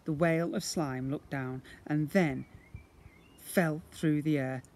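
A man's voice: on the high side, steady tone, takes it slowly, a little mellow. A middle-aged man reading a children's story aloud like a teacher to young pupils, outdoors. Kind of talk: animated children's storybook narration. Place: outdoors in a brick-walled yard. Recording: noisy outdoor handheld recording.

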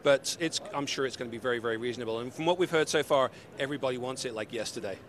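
A man's voice: mid-range voice, rapid-fire, a bit bright, a little hiss or hum. A man in his forties from the UK with a slightly mixed British accent, explaining products with upbeat, salesy energy in a trade-show interview, speaking into a handheld mic in a convention hall. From United Kingdom. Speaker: company representative. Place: busy convention hall booth. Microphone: handheld mic held by interviewer.

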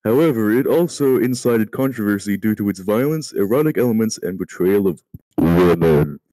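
A man's voice: fairly low pitch, animated, normal speed, warm tone, dry recording, rough recording. A man reading an encyclopedia article aloud, stumbling over words and swearing casually at his slips. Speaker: amateur reader in a group voice call.

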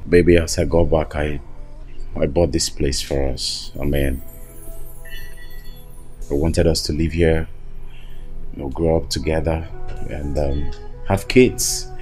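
An actor playing an earnest, regretful young lover in a romantic film drama. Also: male voice, very deep voice, fairly even intonation, slow, pauses often, darkish tone, faint music behind.

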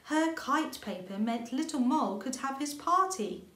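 Female storybook reader, thirties, higher register, expressive delivery, roomy home sound. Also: unhurried. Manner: expressive reading with character lines. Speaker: author reading aloud. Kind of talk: storybook narration for children. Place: indoor room with bookshelves.

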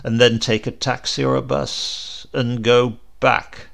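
A man voicing a tall, eerie stranger in a storybook, mild and insistent, giving calm and deliberate warnings. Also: medium pitch, creaky, heavily acted. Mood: quietly insistent.

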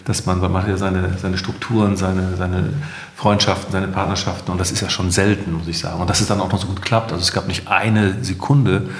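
Deep-voiced middle-aged man in an echoey room. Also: level tone, fairly quick. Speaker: company co-founder, interview guest. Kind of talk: conversational podcast interview. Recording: echoey room with long reverb.

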